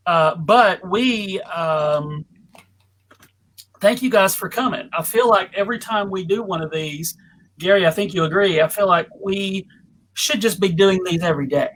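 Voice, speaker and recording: A man's voice: high-pitched, normal speed, a bit bright, low-quality recording. A man hosting a casual panel discussion over a video call in a chatty, enthusiastic, informal way. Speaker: panel host and moderator.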